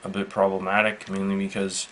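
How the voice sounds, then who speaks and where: A man giving a casual, unscripted product demonstration in a matter-of-fact, halting, measured way. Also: low voice, monotone, a bit bright. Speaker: product reviewer. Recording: faint background noise.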